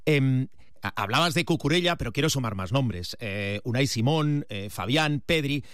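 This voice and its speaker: A man's voice: mid-range voice, lively intonation, normal speed, fairly bright tone, clean tone, no echo, studio quality. A man conducting a casual sports interview in an informal, encouraging way.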